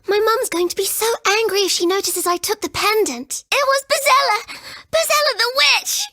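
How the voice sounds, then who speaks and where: A woman's voice: very high-pitched, sharp tone. A woman playing a frightened, pleading little girl in a dramatic video game, with a childlike, anxious delivery.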